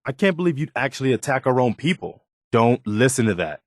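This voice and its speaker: An actor playing an earnest, loyal militia leader in a video game, speaking in a sincere, measured, conversational way. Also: male voice, medium pitch, big pitch swings, no room sound, studio quality, close to an everyday voice. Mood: disapproving. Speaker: video game companion character. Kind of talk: acted video game dialogue lines.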